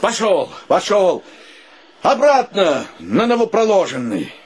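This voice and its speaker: An actor playing an exuberant, joking gentleman with ornate courtesy and theatrical exclamations in a radio play. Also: sits high, sing-song, takes it slowly, husky, full character voice. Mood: exuberant, commanding. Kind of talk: Russian radio play acting.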